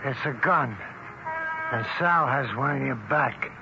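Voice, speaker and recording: Actor as a gravelly, menacing gangster. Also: male voice, medium pitch, a little slow, natural voice. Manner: coolly threatening, sardonic. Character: smooth, menacing middle-aged gangster kidnapper. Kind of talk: vintage radio drama acting. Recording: very noisy, muffled old radio recording.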